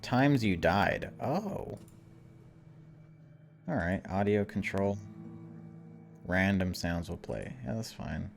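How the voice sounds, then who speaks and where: A man's voice: deep voice, slow, gravelly, long pauses, faint music behind. A man giving a casual, chatty let's-play, reading game text aloud and adding wry asides. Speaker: video game streamer.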